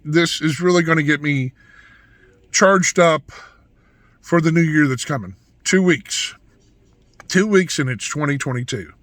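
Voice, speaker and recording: Raspy, hoarse man rambling in a vlog. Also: a little high, sharp tone, no room sound. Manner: rambling, with frequent restarts and asides. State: hoarse from yelling. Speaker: vlogger. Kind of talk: casual rambling monologue.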